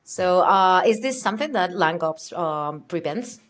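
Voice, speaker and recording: A woman from Spain hosts a podcast and asks her guest questions in a relaxed, friendly way. Her voice sits a little low for a woman. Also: measured pace. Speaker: podcast host. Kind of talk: casual interview chat.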